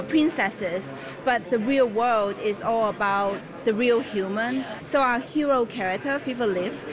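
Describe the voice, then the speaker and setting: A woman from Hong Kong, a creative director, talking chattily with many fillers at a trade fair stall. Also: mid-range voice. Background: crowd chatter.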